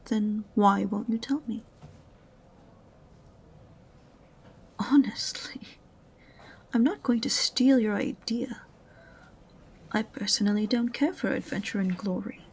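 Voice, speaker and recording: A woman's voice: medium pitch, vocal fry, darkish tone, breathy edge. A woman playing an aloof, sarcastic witch librarian in a fantasy audio roleplay, prim and condescending in a dry way. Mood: suspicious, dry. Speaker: voice actor in amateur audio roleplay.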